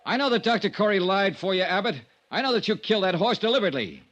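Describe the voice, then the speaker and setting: An American actor playing a steady, hard-boiled insurance investigator in a vintage radio noir drama. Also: male voice, sits high, fast, muffled recording. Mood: urgent, firm. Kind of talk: 1950s radio noir acting and narration.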